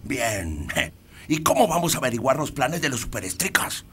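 A man's voice: mid-range voice, sing-song, moderate pace, close to an everyday voice. An actor playing a cocky, scheming young male soccer rival in a cartoon, boastful and mocking. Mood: curious.

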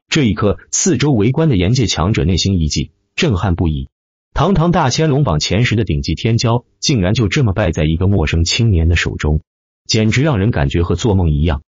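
A man's voice: low voice, husky, no room sound, dull audio. A man reading a fantasy novel as dramatic scripted narration. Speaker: audiobook narrator.

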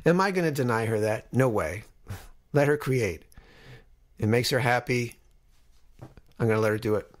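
A man's voice: medium pitch, normal speed, long pauses. A middle-aged man hosting a podcast, talking in a casual, reflective way. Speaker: podcast host.

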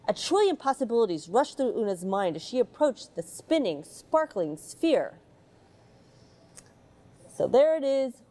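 A woman's voice: fairly high pitch, mellow. A middle-aged woman from the United States, an astronaut, reading a picture book aloud to children aboard a space station, with chatty asides between pages. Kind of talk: storybook read-aloud for children. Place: space station module, indoors.

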